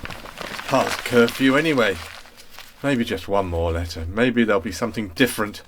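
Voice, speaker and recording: A man's voice: lowish voice, moderate pace, fairly bright tone, slight background noise, pitched below the performer's own voice, lightly acted. A man playing a weary, exasperated wartime ministry clerk who mutters and grumbles to himself in a radio play. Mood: weary grumbling.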